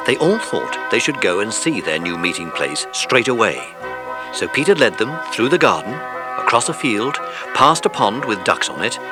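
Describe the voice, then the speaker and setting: A man reading scripted narration for a children's audiobook. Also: mid-range voice.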